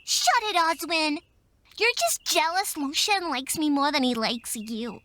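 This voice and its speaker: A woman playing an excitable, mischievous fifteen-year-old girl in an audio drama, bubbly and quick to pout. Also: high voice, heavily acted. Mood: irritated. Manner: bubbly, pouty, quick to bicker.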